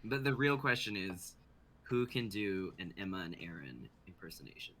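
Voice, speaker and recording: A man's voice: deep voice, very animated, normal speed, bright, forceful tone, slight background noise. A man in his twenties chatting casually with a group of fellow performers. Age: 20s. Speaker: member of a reading group.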